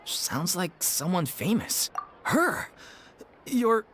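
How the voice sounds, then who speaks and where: Humble theatre troupe leader for a game: earnest, apologetic, lively swings in pitch. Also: male voice, extremely slow, slight background noise, full character voice. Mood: hopeful, admiring. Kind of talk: video game voice acting.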